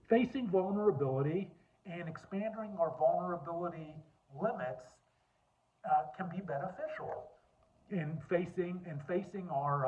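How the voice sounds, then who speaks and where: A man with a deep voice giving an earnest personal talk, telling his story and repeating points for emphasis. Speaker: speaker on lawyer wellbeing. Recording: tinny phone-like line.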